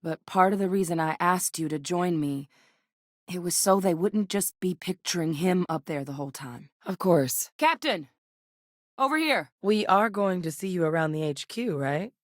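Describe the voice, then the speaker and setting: A woman playing a seasoned ex-military scientist in a video game, steady and earnest, with a measured, serious delivery. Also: on the low side, dry recording, studio quality, natural voice. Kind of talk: video game character voice lines.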